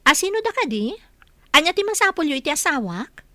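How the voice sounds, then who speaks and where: An anguished wife and mother played by a woman in a radio drama, high and sweeping in pitch. She is tearful and accusing, recorded close and dry. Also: big pitch swings, fairly quick, sharp tone, no echo, full character voice. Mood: suspicious. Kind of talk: Ilocano radio drama acting.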